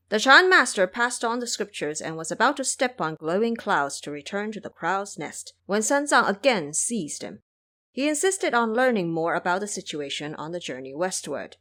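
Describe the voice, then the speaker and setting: A woman narrating a scripted audio drama in an expressive storybook manner. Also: mid-range voice.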